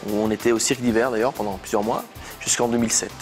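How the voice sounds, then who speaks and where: A man's voice: medium pitch, fairly even intonation, quick pace, soft background music. A man in his thirties from Belgium, a singer talking on a TV show in a friendly way, often repeating words as he restarts. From Belgium, province of Liège. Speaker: singer, studio guest. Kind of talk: TV chat show interview.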